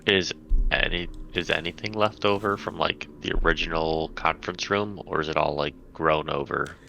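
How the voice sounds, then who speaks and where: A man's voice: extremely low pitch, moderate pace, creaky, pushed and bright. A man playing a tabletop roleplaying game, asking questions in casual, tentative chat. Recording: dull lo-fi mic with faint noise.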